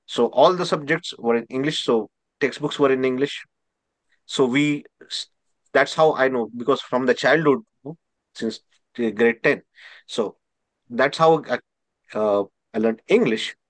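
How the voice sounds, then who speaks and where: A man with an Indian accent, a native Marathi speaker, answering interview questions haltingly with many restarts. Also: medium pitch, dry recording. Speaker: guest scholar.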